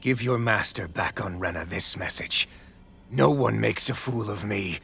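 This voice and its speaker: An actor playing an arrogant, vengeful tyrant villain in a video game, menacing and megalomaniacal. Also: male voice, lowish voice, a little slow, gravelly, pushed and bright, heavily acted. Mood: menacing contempt. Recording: music under the voice.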